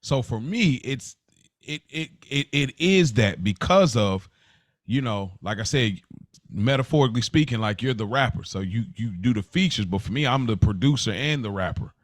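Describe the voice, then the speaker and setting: A man in his thirties with an American accent talks as a podcast co-host in a mid-pitched voice. His delivery is casual and unscripted, full of slang and animated repetition. The sound is dry, with very little room in it. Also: normal speed, dry recording.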